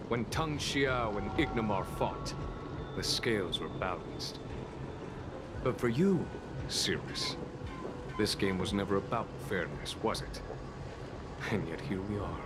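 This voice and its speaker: An actor playing a calm, dignified general in a video game, speaking in a measured, formal and authoritative way. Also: male voice, fairly low pitch. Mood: composed challenge. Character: calm, dignified male general and seasoned warrior. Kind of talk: scripted video game voice acting.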